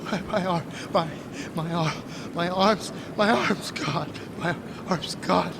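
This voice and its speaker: A man's voice: high-pitched, slow pace, creaky, noisy background, exaggerated voice. An actor playing a frightened, desperate male captive in an audio drama, stammering and pleading as he repeats his words. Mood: agonized. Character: frightened, desperate young man, captive of a villain.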